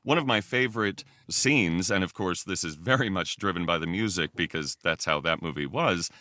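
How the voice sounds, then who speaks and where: A male podcast guest with a deep voice that still has a bright, crisp edge. He chats casually, with a dry, close sound around him. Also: moderate pace, no room sound. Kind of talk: casual chat.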